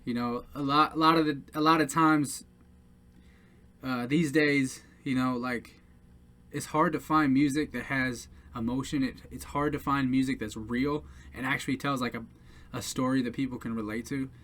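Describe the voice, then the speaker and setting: A man's voice: mid-range voice, steady tone, moderate pace, bright, forceful tone. Hesitant, rambling man introducing his music. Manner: hesitant and rambling, many fillers and restarts. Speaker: independent musician presenting his songs. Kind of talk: casual talk to camera.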